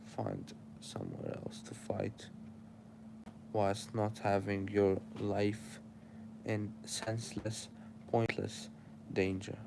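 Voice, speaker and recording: A man's voice: lowish voice, lively intonation, slow pace, gravelly, background noise. A young man with an Arabic accent, sleepy and tired, preaching casual life advice straight to his livestream chat.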